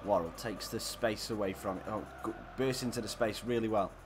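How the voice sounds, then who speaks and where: A hoarse man, streaming live and giving excitable, chatty play-by-play to his viewers. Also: fairly low pitch, brisk, over music. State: hoarse, scratchy throat. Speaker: game streamer. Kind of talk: live play-by-play commentary while gaming.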